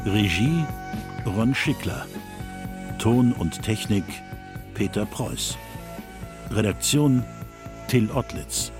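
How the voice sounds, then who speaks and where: Male announcer for programme credits, very unhurried, low register, over prominent music. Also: lively intonation. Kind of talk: scripted broadcast credits announcement.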